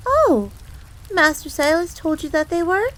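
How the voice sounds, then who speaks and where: A woman's voice: very high voice, very animated, a little slow, fairly bright tone, exaggerated voice. A woman voicing a jealous, easily flustered young fox maid in a roleplay audio drama, speaking formally and referring to herself in the third person, with rain behind her. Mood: suspicious, accusing. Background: rain on a surface.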